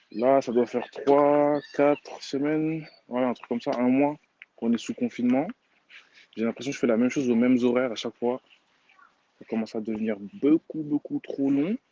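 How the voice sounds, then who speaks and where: A man's voice: on the low side, a little mellow, a little hiss or hum. A man in his twenties with a student job talks casually about his life, trailing off with frequent fillers. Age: 20s. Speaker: student worker. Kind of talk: personal testimony. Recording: dull lo-fi.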